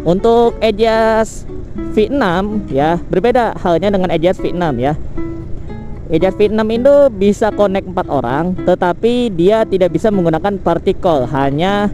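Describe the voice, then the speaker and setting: A man's voice: high voice, moderate pace, over music. A man with an Indonesian accent hosting a casual product comparison vlog, talking in a slangy, conversational way with frequent tag words. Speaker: motovlogger and channel host.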